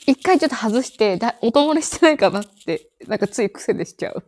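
A woman's voice: on the high side, lively intonation, fast, a little mellow, no room sound. A woman in her thirties chatting casually as a podcast co-host. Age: 30s. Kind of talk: casual chat.

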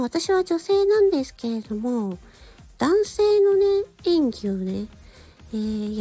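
Bright, high-voiced woman hosting, unhurried. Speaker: radio show host and amateur voice actor. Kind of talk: solo radio-style talk with read-out passages.

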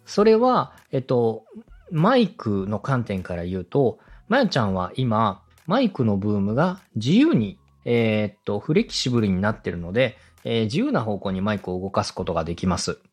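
A man's voice: on the low side, big pitch swings, normal speed, breathy edge, soft background music. A man hosting a podcast, giving polite, methodical, explanatory advice in a structured way. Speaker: podcast host, radio director coaching a co-host.

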